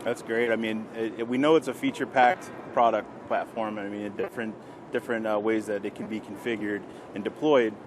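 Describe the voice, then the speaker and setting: Low-pitched, flat-toned male interviewer. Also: fairly quick. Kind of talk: informal business interview at a trade show. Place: trade show floor. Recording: clear show-floor background noise.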